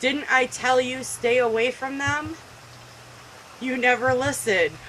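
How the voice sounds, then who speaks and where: A woman's voice: high-pitched, fairly even intonation, slow pace, a bit bright, a little hiss or hum, poor audio. A woman voicing a possessive, scornful mother speaking to her daughter in a video game story.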